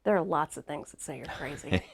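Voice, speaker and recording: A woman's voice: sits low, animated, a little fast, gravelly. Sniffly, chatty woman hosting a livestream. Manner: chatty and upbeat, laughs easily. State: sniffly, congested from allergies. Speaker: host. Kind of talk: casual livestream chat.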